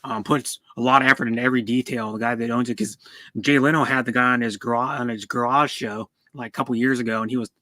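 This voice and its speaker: A man talks casually on a livestream at an average pitch. He rambles and stammers, often repeating words as he goes. The sound is dry, with no room echo. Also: fairly even intonation, fast talker, pushed and bright. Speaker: streamer. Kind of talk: casual car chat on a livestream.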